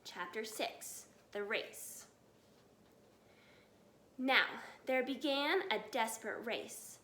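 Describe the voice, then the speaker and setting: Lady reading a kids book out loud, slow n expressive, bright voice, echoey room. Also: high-pitched, slight background noise. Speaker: storybook reader. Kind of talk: expressive children's read-aloud.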